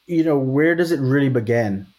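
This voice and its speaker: Man from Texas, medium pitch, thoughtful, casual chat, self-correcting restarts. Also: lively intonation, moderate pace, darkish tone. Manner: thoughtful, frequent restarts and "right?". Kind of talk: casual reflective conversation.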